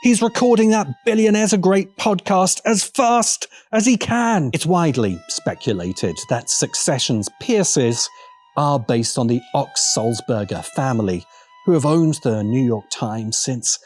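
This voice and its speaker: A man narrating a scripted video essay as its host, with sardonic, emphatic and deliberate phrasing. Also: mid-range voice, expressive, a little mellow. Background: faint background music.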